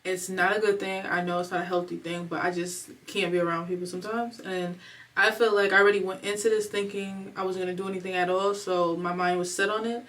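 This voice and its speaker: A young woman in her twenties rambles candidly in a slangy home vlog, emotional and holding back tears. Her voice sits at an ordinary middle pitch. She is a little distant from the microphone. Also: little pitch movement, brisk, a bit bright. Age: 20s. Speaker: vlogger. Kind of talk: casual confessional vlog talk. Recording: voice a bit far from the mic.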